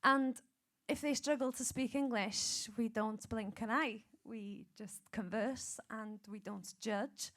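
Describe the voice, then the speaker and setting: A woman's voice: fairly high pitch, slow, fairly bright tone. A woman in her forties with a Welsh accent, a singer speaking as a panel guest, earnest and reflective, with light laughter. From Wales. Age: 40s. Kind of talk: panel discussion.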